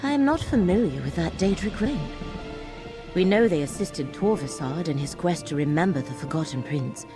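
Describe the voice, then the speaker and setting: An actress voicing a solemn, watchful guide of fate in a fantasy video game, speaking in a formal, measured, stately way. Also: female voice, fairly low pitch, expressive. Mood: grave, watchful. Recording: game audio under loud music.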